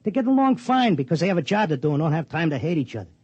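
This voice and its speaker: A man's voice: fairly high pitch, very animated, rapid-fire, darkish tone, breathy edge, no room sound. An American actor playing a brash, streetwise racetrack tipster in a 1950s radio drama, with blunt, slangy wise-guy talk. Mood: urgent, bargaining. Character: brash, streetwise male racetrack tipster, stubborn and plain-spoken. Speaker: lead character and narrator. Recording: muffled 1950s radio broadcast, music behind.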